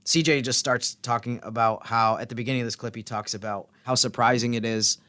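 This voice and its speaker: Man, mid-pitched voice, casual sports podcast chat, team reporter, very little room sound. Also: steady tone, fairly quick, pushed and bright, no room sound. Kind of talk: casual basketball talk.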